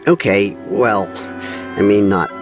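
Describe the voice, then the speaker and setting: A man's voice: on the low side, slow. A man playing a chatty, candid townsperson in a video game, frank but a little hesitant as he explains. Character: chatty, candid townsperson who watches city goings-on. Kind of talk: video game dialogue acting. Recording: clear music behind the voice.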